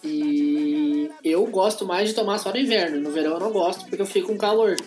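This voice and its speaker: A man's voice: high voice, fairly quick, a little mellow, faint music behind. A man in casual group chat, earnestly explaining customs.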